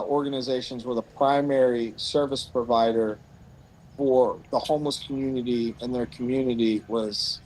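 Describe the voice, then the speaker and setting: A man's voice: medium pitch, a little mellow, rough recording. A man in his late thirties who runs a rescue mission, talking earnestly and thinking aloud in a casual conversation outdoors. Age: 30s. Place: outdoors on a bench.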